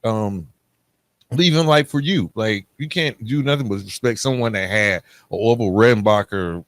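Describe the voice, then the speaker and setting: A man's voice: lowish voice, normal speed, dry recording. An American man hosting a casual interview, speaking informally with frequent affirming interjections. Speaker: podcast host, interviewer.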